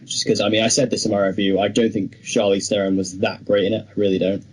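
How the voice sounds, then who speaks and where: A man's voice: on the low side, flat delivery, fast talker, darkish tone, slight background noise, low-quality recording. A young man co-hosting a film podcast, talking casually and giving his opinions freely. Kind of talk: casual discussion of film news.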